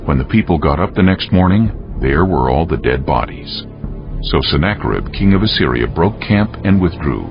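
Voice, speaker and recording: A man's voice: a bass voice, expressive, normal speed. A man giving solemn, scripted dramatic narration of scripture as a storyteller. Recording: dull audio with music underneath. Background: music.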